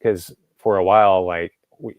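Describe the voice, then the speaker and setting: A man's voice: low voice, steady tone, normal speed, dry recording, narrow, tinny audio. A man from Texas, hosting a show and chatting casually, rambling with lots of fillers and restarts. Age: adult, grew up in the 1980s. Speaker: show host. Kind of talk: casual podcast chat.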